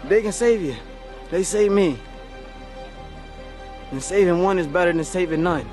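A man's voice: high voice, moderate pace, a little mellow, soft background music. A young man speaking earnestly and from the heart in an outdoor documentary interview. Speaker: fighter. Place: outdoors, woods.

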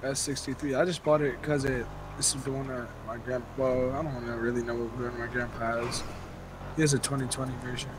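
A man's voice: mid-range voice, little pitch movement, a little fast. A young man from New Jersey chatting casually and informally as a fellow player, with slang and swearing. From New Jersey, United States. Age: young adult. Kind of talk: casual gameplay chat. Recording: clear background noise, game car sounds.

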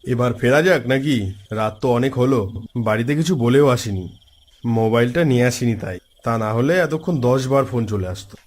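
A man voicing an easygoing young village host in a cartoon story, animated and conversational. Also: mid-range voice, moderate pace, natural voice. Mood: reassuring. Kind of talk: cartoon story dialogue, casual.

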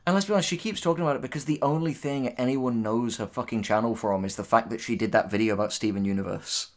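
A man's voice: medium pitch, quick pace. Male co-host, middle register. Sardonic and sweary, reads aloud and comments casually. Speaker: co-host and writer reacting to writing tips.

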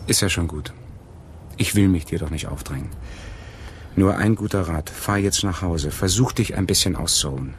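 An actor playing a concerned, gentle friend in a German radio crime drama, speaking soothingly. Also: male voice, deep voice, normal speed, a little hiss or hum, natural voice. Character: concerned, gentle male confidant urging rest.

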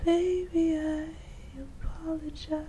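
A woman ASMR performer singing softly and sleepily in a breathy, gentle voice. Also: extremely high pitch, level tone, takes it slowly, noisy background. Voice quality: soft, breathy.

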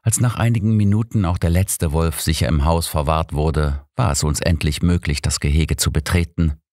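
Deep-voiced audiobook man. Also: moderate pace, a little mellow, dry recording, clean studio sound. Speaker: audiobook narrator. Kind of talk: scripted first-person mystery narration.

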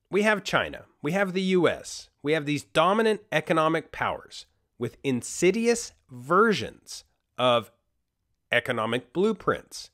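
A man from Costa Rica delivers an emphatic monologue. His voice sits a little high and moves a lot, leaning in with each claim. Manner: animated, emphatic, conspiratorial. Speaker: podcast host. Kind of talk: monologue commentary.

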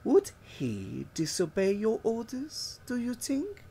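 Man voicing a cool indifferent woman, hushed, half-whispered, raised pitch, slow. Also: wildly swooping pitch, creaky, breathy edge, exaggerated voice. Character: cool, indifferent woman, circus owner's wife.

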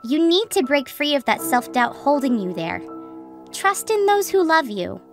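A woman voicing a calm, reassuring cat-like monster therapist in a comic dub, speaking in a measured, formal and encouraging way. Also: sits high, faint music behind. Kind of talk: animated comic dub voice acting.

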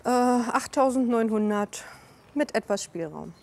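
A woman's voice: mid-range voice, lively intonation, unhurried, vocal fry. A woman in her forties in casual conversation as a private seller, giving short, understated replies. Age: 40s. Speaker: seller of her household items. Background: loud background music.

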